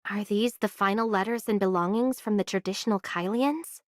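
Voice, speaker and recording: A woman voicing a calm, curious young adventurer in an anime video game, speaking in a measured, sincere way. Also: mid-range voice, no echo, studio quality, lightly acted. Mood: thoughtful.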